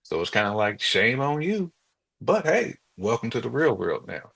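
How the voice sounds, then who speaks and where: A man co-hosting a relationship podcast, chatting casually in a halting, colloquial way. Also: mid-range voice, sing-song, creaky, a bit bright, husky. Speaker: podcast co-host.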